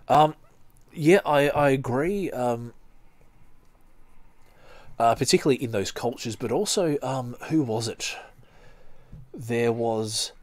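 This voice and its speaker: A man hosting a podcast, reading aloud and commenting casually in a halting, rambling way. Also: mid-range voice. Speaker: podcast host.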